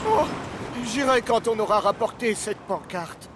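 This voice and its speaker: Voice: mid-range voice, expressive, measured pace, background noise, lightly acted. Un comédien de doublage interprète un petit bateau à voile, serviable mais anxieux, qui pousse souvent des cris et des soupirs inquiets. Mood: earnest. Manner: frequent worried gasps and cries. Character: small anxious but helpful male sailing boat. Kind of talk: French cartoon dub.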